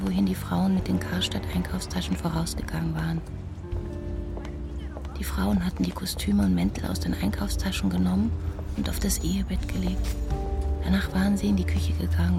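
A wistful woman who observes small details in a radio play monologue. Her voice is slightly low and strongly creaky, kept hushed and precise. Music plays clearly behind her. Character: wistful, observant woman narrator remembering a meeting. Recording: music under the voice.

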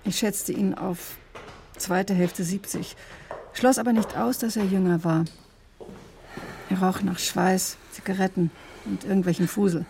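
An actress playing a brusque, sardonic emergency doctor who recounts a case in clipped, matter-of-fact radio drama narration. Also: female voice, medium pitch, fairly even intonation, measured pace, gravelly, pauses often, dark tone, a little hiss or hum. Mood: sober, matter-of-fact. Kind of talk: German radio drama, dry first-person narration.

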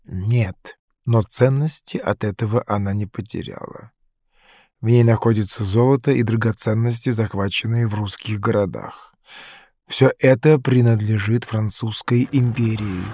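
French general, low voice, stern, curt, deliberate, commanding, audio drama. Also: male voice, mellow, slightly rough, no echo, clean studio sound. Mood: curt, grave. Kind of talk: scripted audio drama acting.

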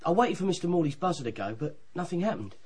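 A man's voice: a little high, sing-song, fast, darkish tone, slightly rough. An actor playing a naive young page boy, eager and colloquial, in a radio drama. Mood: matter-of-fact.

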